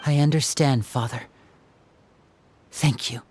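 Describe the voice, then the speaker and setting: A man's voice: medium pitch, steady tone, slow, slight background noise, close to an everyday voice. A man playing an earnest, humble and devoted young man in an anime game, speaking respectfully. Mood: humbled. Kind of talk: dramatic anime game voice acting. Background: loud music.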